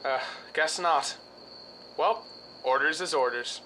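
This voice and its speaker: An actor playing a hesitant husband and secret accomplice in a radio drama, speaking in a halting, understated way. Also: male voice, on the high side, sharp tone, slight background noise, rough recording. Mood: guarded, relieved.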